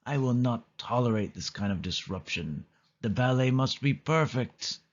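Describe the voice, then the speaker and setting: A man's voice: medium pitch, expressive, moderate pace, highs cut off, natural voice. A man voicing an evil, imperious Snow Queen in a storybook, giving commands. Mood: imperious anger. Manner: commanding, demanding.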